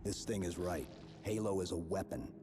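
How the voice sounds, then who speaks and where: A man's voice: sits low, normal speed, close to an everyday voice. A man playing a stoic armored super-soldier in a video game, terse, understated and unflappable. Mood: calm. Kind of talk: video game cutscene acting. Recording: loud music under the voice.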